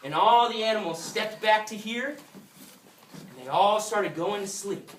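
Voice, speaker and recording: A woman's voice: medium pitch, unhurried, slight background noise. A woman telling a story live to children at a puppet show, prompting them to join in as she goes. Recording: echoey room, distant mic, music.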